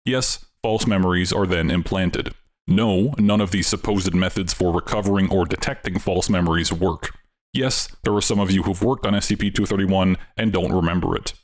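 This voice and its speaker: A man reading a formal letter aloud in a measured, grave manner. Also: low-pitched, steady tone.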